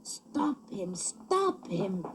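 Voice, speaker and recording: A woman's voice: mid-range voice, sing-song, very slow, slight background noise. A woman voicing an easily offended, scolding old male woodcarver in a children's storybook, indignant and emphatic. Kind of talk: children's audio storybook character voice.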